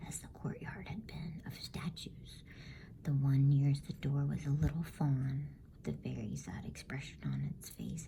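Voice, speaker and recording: A woman reading a bedtime story as ASMR in a hushed, unhurried whisper. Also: rumbling low, creaky, a little hiss or hum.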